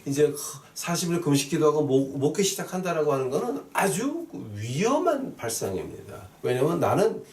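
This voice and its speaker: Echoey, mellow male pastor preaching. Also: on the high side, sing-song, normal speed, hardly any pauses. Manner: emphatic, repeats key phrases, questions the listeners. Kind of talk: sermon with scripture readings and rhetorical questions. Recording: roomy echo, distant mic, faint noise.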